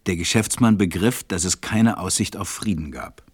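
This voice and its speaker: A man narrating a storybook radio play with a husky voice and a measured, reflective manner. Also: sits low, no echo, clean studio sound.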